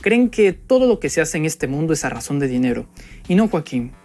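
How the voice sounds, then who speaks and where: A man's voice: a little high, very animated, brisk, a little mellow. Pointed young Mexican man over music. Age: young adult. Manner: pointed, sarcastic, rhetorical. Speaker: political commentator. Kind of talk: spoken opinion monologue addressed to an opponent. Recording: background music under the voice.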